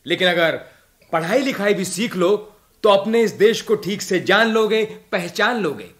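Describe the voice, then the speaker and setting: An earnest young village activist in a radio play. His voice is high for a man, and he exhorts his listeners with conviction. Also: lively intonation, measured pace, fairly bright tone. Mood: rousing. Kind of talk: Hindi radio drama acting.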